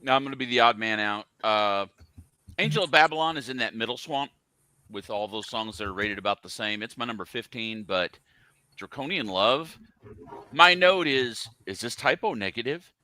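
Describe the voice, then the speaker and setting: A man's voice: mid-range voice, moderate pace, bright, forceful tone, no echo. A man on a podcast panel talking casually about music, blunt and dryly contrarian in his opinions.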